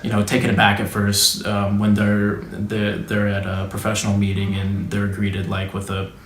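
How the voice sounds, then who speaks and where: Young man, low voice, monotone delivery, casual hedging answers, roomy echo. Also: normal speed. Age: young adult. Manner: relaxed, hedging, many fillers and restarts. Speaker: student interviewee. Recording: echoey room.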